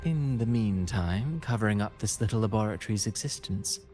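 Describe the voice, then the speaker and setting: Man playing a suave, low-voiced, soothing villain. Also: expressive, darkish tone, close to an everyday voice. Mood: calm, scheming. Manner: soothing, measured, eloquent. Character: suave, calculating male villain with theatrical, courtly speech. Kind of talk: scripted video game acting. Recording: music playing behind the dialogue.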